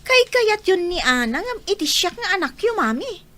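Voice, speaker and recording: A woman's voice: very high voice, sing-song, moderate pace, sharp tone, heavily acted. A woman playing a whiny, jealous young daughter in a radio drama, plaintive and insistent. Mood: resentful, whining. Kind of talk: Filipino radio drama acting.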